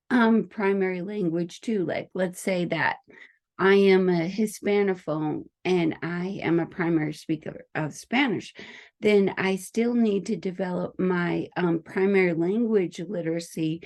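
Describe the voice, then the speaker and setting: A woman who speaks English natively, giving an informal explanatory lecture as an educator in a careful, halting manner. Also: lowish voice, dark tone. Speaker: teacher educator explaining a learning principle.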